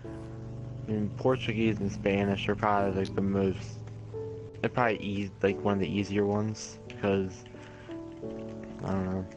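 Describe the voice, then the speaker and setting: A man's voice: fairly low pitch, flat delivery, measured pace, long pauses, dull audio. A young man streaming a video game, chatting casually, rambling and laughing at himself often. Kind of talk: casual gaming chat. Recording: music playing behind.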